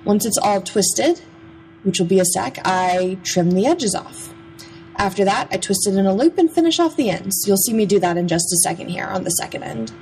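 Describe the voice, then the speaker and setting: A woman's voice: mid-range voice, expressive, moderate pace, a little mellow, slight background noise, rough recording. A young adult woman giving a casual, chatty, playful voice-over about a craft process. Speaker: craft vlogger.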